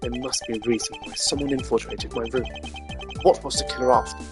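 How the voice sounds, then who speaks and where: A man's voice: mid-range voice, steady tone, brisk, music in the background, natural voice. A man voicing a composed, haughty prosecutor with a formal, precise and pompous way of speaking, in a video game. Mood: measured, superior. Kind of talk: video game character voice-over.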